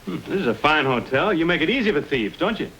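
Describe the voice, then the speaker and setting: A man's voice: fairly high pitch, very animated, quick pace, a bit bright, rough recording. An actor playing a courteous but bold, strong-willed male frontier adventurer in an old-time radio western. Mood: firm, wary. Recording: old radio recording with hiss.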